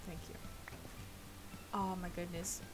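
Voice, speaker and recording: A young woman with a Swedish accent, a native Swedish speaker, chatting casually and rambling softly while she plays a game on stream. She speaks with a sore throat. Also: on the low side, level tone, slow, over loud music, low-quality recording. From Sweden. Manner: rambling, soft, laughs often. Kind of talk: casual chat while gaming. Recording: loud noise behind the voice.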